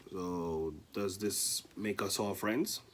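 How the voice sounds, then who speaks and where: A man's voice: low voice, fairly even intonation, slow, slight background noise, pitched below the performer's own voice. A man voicing a timid, easily frightened young rattlesnake. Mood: fearful.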